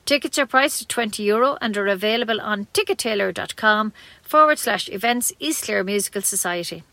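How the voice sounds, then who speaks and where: A woman's voice: mid-range voice, lively intonation, a little fast, pushed and bright, no echo. A woman with an Irish accent reading scripted community radio news, occasionally stumbling and correcting herself.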